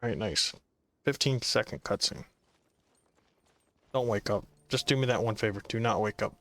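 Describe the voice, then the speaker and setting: A man's voice: lowish voice, normal speed, fairly bright tone, dry recording. A man giving casual gameplay commentary, muttering sardonic, sometimes profane remarks to himself as he plays. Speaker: gamer playing and commenting.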